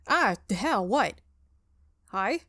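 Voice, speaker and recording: A woman's voice: mid-range voice, expressive, slow, pushed and bright, no echo, clean studio sound, full character voice. A woman playing a skeptical, rattled college student in a visual novel, blunt and wary. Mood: startled.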